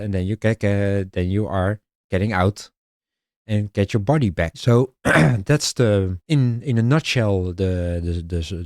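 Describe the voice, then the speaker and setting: A man with a Dutch accent pitching his indie game idea in a casual vlog, halting and restarting often and clearing his throat. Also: a little mellow, no room sound, clean studio sound. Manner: halting, many restarts and filler sounds.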